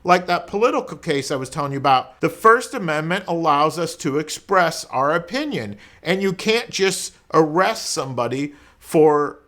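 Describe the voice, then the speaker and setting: A man's voice: a little high, lively intonation, normal speed, few pauses, pushed and bright. A man speaking as a lawyer in an informal explainer, conversational and colloquial, often posing rhetorical questions. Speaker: criminal defense lawyer explaining law. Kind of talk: informal explainer talk to camera.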